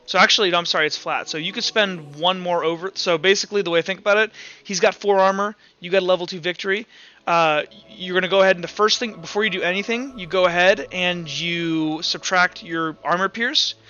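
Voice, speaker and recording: A man's voice: high voice, fairly even intonation, fairly quick, pushed and bright, dull audio. A man casually running a tabletop roleplaying game, rambling through rules and muttering as he counts and looks things up. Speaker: game master. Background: background music.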